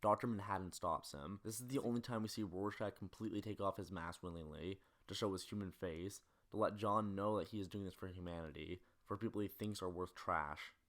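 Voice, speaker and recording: Fast-talking low-voiced man narrating. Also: fairly bright tone. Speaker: video essayist. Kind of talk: scripted film-analysis narration.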